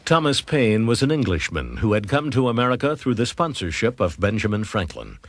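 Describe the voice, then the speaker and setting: A man delivering scripted historical narration as the narrator of a television documentary. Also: fairly low pitch. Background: music.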